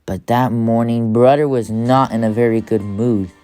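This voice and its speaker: Low-pitched woman reading a children's storybook. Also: fairly even intonation, moderate pace, dark tone, clear, resonant tone, faint music behind. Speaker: audiobook reader.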